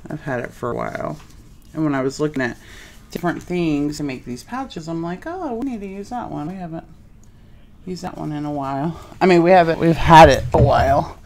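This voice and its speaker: Woman, 40s, nasal, low-ish voice, rambling craft-stream chat, desk mic, faint keyboard typing. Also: brisk, a little mellow, slight background noise. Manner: chatty, addresses viewers directly, rambling. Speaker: craft livestream host. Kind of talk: casual live sewing chat. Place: home craft room, indoors.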